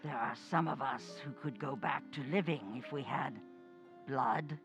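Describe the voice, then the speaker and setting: A woman's voice: extremely low pitch, faint music behind. A woman playing a menacing, bloodthirsty undead old woman who taunts and commands in old-time radio horror style. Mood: sinister, taunting. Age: older. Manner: slow, taunting, commanding, gloating.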